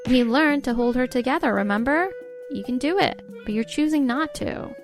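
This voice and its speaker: A woman's voice: high voice, expressive, moderate pace, soft background music. A woman playing an exasperated, overburdened young wife and mother in a scripted comic dub. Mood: exasperated, firm. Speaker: voice actor in a comic dub, narrating and playing characters. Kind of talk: scripted dramatic narration and dialogue.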